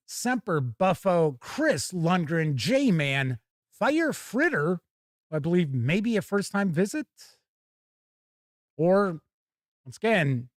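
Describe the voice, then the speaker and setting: A man's voice: a little high, no room sound, studio quality. A man hosting a casual live stream with folksy, hearty showman patter. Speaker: host of a tabletop gaming live stream.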